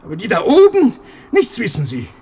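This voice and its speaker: An actor playing an older husband in a German radio play, fretful and proud, lamenting and rambling in an agitated way. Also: male voice, high-pitched, huge pitch swings, a little fast, a little mellow, slightly rough, full character voice. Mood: bitter, scornful. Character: fretful, proud old husband guarding his life's collection.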